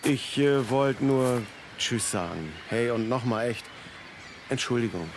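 A male journalist with a creaky, mid-pitched voice in a radio comedy. He sounds self-important and evasive, justifying himself in a hesitant way. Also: animated, a little slow, background noise. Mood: sheepish. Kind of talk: radio comedy, mock interview.

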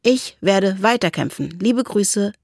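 A woman's voice: mid-range voice, lively intonation, takes it slowly, gravelly, dry recording. Reflective woman co-hosting, close and dry. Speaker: podcast co-host. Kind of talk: reading listener mail and discussing it.

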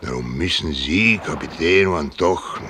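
A gruff old-school merchant sea captain grumbles and blusters in a very low, forceful voice. He is irritable and given to cursing, in radio drama style, with some boat and water noise around him. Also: a little slow, full character voice. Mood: irritated, indignant.

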